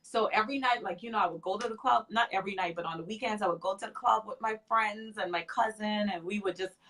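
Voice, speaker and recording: A woman's voice: medium pitch, fast talker, a bit bright. A woman in her thirties, a makeup artist and guest, speaking candidly in a casual interview and often repeating short phrases. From Freeport.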